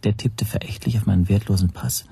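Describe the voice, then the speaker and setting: An actor playing a watchful first-person narrator in a radio drama, with a breathy, husky voice. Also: male voice, lowish voice, fairly even intonation, fairly quick, mellow, exaggerated voice. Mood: observant, tense.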